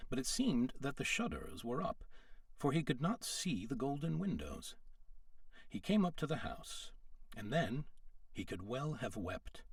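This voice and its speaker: A man's voice: mid-range voice, dry recording, clean studio sound, far from the mic. A man reading a storybook aloud as a narrator, in scripted storytelling style. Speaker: storyteller, audiobook reader.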